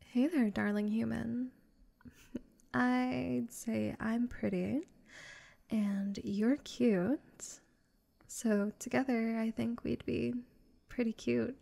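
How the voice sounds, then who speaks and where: A woman's voice: on the high side, fairly even intonation, extremely slow, long pauses, darkish tone, heavily acted. A woman playing a possessive, lovesick vampire in a comedic roleplay audio drama, sarcastic and theatrical. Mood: seductive. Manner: sarcastic and theatrical, exasperated sighs, breathy flirting.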